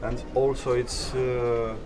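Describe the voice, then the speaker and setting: Hesitant man with a halting interviewer style. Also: mid-range voice, level tone, background noise. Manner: hesitant, many fillers, non-native phrasing. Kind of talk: casual walkthrough interview. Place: inside a small caravan at a trade fair hall.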